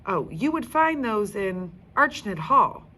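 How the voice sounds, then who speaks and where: A woman's voice: mid-range voice, sing-song, takes it slowly, pushed and bright, voice pushed up, full character voice. A woman reading a storybook in the part of a helpful, matter-of-fact female museum guide. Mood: helpful, informative.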